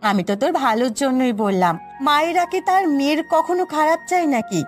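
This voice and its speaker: A woman's voice: high-pitched, very animated, quick pace, faint music behind. A woman voicing a caring village mother, chiding yet soothing, in a moral cartoon story. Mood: soothing, explaining. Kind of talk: Bengali moral cartoon dubbing.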